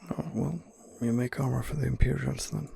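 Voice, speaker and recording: A man doing ASMR gameplay commentary, musing to himself in a hesitant, unhurried way. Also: mid-range voice, steady tone, creaky, mellow, slightly rough. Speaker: video game player narrating his playthrough. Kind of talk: ASMR game commentary, murmured in-character musing.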